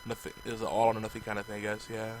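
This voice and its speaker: A man co-hosting a casual podcast, chatty and relaxed, his voice going hoarse as he gets sick. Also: fairly low pitch, rapid-fire, a little hiss or hum. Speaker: podcast co-host. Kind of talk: casual chat.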